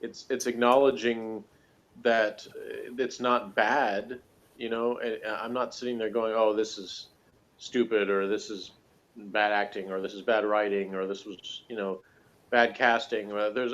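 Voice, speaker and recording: A man's voice: on the low side, a bit bright. A man chatting casually as a guest reviewer, rambling conversationally with plenty of "you know" and "like". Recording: dull lo-fi recording.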